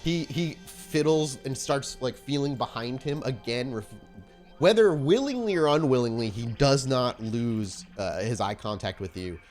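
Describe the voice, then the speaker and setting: A man's voice: medium pitch, animated, measured pace, a little mellow, faint music behind. A man improvising casual narration as the game master of a tabletop role-playing game.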